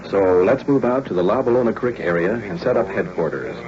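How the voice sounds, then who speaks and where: American male radio reporter, low voice, deliberate on-scene narration, dull 1950s sound with background voices. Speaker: police recorder, radio host. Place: on location in police station and outdoors. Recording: dull vintage radio recording, crowd noise.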